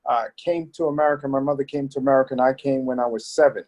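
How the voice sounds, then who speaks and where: A man in his fifties from Trinidad, raised in New York, a pastor answering interview questions in a relaxed, plainspoken way. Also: medium pitch, fast talker, muffled recording.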